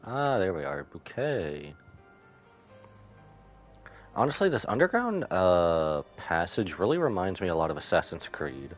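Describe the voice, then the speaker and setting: A man with a low voice and lively, swinging intonation comments casually on a game he is streaming. He reacts in an offhand, slangy way, with faint music behind him. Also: measured pace, long pauses. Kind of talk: casual live gameplay commentary.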